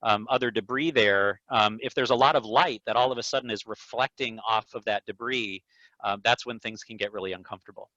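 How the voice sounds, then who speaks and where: A man speaking as an eye doctor, explaining things to a patient in a measured, careful way over a video call. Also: fairly low pitch, pushed and bright, no echo. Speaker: neuro-ophthalmologist answering patient questions. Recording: dull, lo-fi video call audio.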